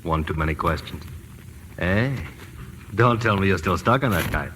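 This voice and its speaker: An actor playing a wry, brisk investigator husband in old-time radio drama, clipped and matter-of-fact. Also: male voice, sits low, lively intonation. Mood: wry, teasing. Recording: noisy old radio recording.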